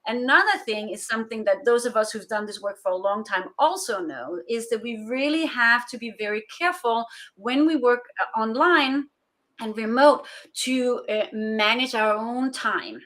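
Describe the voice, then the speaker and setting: A woman with a Danish accent speaks as an interview guest, her voice sitting a little high. She talks in a thoughtful, unhurried way, often pausing with "uh" as she builds her points. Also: fairly bright tone. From Denmark. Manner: thoughtful, many filler "uh"s. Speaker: guest, remote collaboration consultant. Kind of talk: conversational interview answers.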